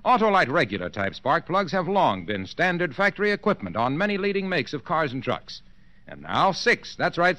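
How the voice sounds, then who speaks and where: Bright, animated 1940s American radio pitchman. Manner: punchy, emphatic salesman delivery. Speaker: radio commercial announcer. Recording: vintage 1940s radio broadcast.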